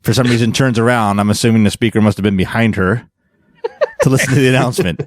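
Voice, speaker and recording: A man's voice: fairly low pitch, fairly even intonation, fast, no echo, studio quality. A man co-hosting a podcast, chatting casually with wry, crude humour. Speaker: podcast co-host. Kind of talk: casual joking panel chat.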